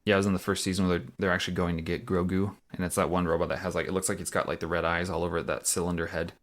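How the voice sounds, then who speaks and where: A man's voice: deep voice, level tone, very fast. A young man co-hosting a movie podcast in casual chat, rambling with fillers and easy laughs. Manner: chatty, lots of fillers and laughs. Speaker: podcast co-host.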